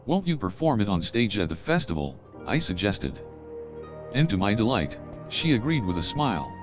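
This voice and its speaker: An actor playing a wistful, courtly middle-aged gentleman in a video game, speaking in a formal, flowery storytelling manner. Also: on the low side, big pitch swings, normal speed, lo-fi sound. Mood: fond reminiscence. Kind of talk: dramatic scripted video game dialogue. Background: game music.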